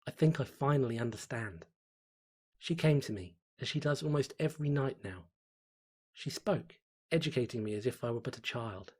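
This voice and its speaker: A man playing a fervent cult devotee confiding in a diary, in solemn, measured horror story narration. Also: mid-range voice, animated, darkish tone, husky. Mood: reverent, obsessed.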